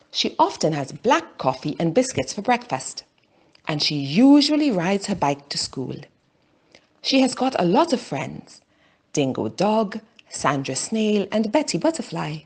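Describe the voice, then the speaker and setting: A woman narrating a simple children's storybook for language learners, with deliberate phrasing and pauses between short phrases. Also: fairly low pitch, darkish tone, husky.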